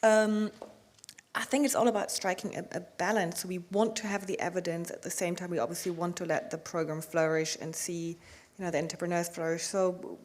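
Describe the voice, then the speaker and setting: A woman's voice: lowish voice, steady tone, a little fast, darkish tone. A woman answering as a panelist, thoughtful and weighing both sides.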